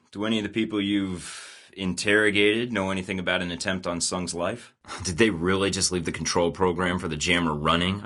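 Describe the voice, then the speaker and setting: A man's voice: sits low, pushed and bright. An actor voicing a cocky young male secret agent in a video game, delivering dry, sarcastic quips. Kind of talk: game voice lines.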